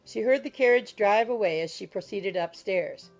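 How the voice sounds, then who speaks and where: Female audiobook narrator, mid-pitched with noticeable creak, formal literary reading. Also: moderate pace, low-quality recording. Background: soft music.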